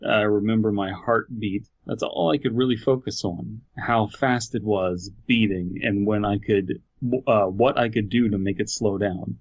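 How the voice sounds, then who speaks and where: Man, low voice, podcast co-host, informal story reading, slightly dull sound. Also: steady tone, unhurried, few pauses. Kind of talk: casual reading of an online horror story with commentary.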